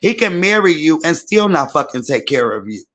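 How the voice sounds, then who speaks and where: A hoarse woman, giving frank, emphatic opinions in a casual group debate. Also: low voice, very animated, moderate pace, fairly bright tone. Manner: frank, emphatic, says "period". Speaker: panelist.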